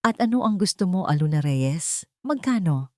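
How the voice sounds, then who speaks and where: A woman's voice: on the low side, animated, moderate pace, warm tone, no room sound, lightly acted. A proud, icy tycoon from an audio drama, voiced by a woman narrator. He talks in terse commands and distrusts everyone, with a dry, close sound. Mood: testing, insistent. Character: cold, commanding billionaire businessman, proud and suspicious.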